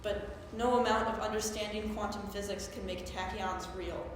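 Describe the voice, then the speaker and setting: Young woman acting a geeky stage character, earnest, animated, wistful, mid pitch, very roomy live recording. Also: moderate pace, natural voice. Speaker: actor in a staged play reading. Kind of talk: theatrical monologue, explanatory. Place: live staged reading at a conference. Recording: very echoey hall, faint noise.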